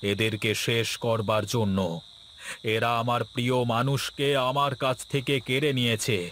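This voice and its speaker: A man's voice: mid-range voice, level tone, normal speed, a bit bright, a little hiss or hum, natural voice. A man voicing a vengeful, defiant disciple of a sage in a cartoon story, with a menacing, intense manner. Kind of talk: Bengali cartoon story voice acting.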